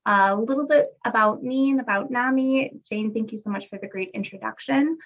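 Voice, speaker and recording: A woman's voice: on the high side, normal speed. A woman presenting a webinar in a friendly, conversational way, recovering from a cold, heard over a video call. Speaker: guest presenter, parent educator. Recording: muffled lo-fi video call audio.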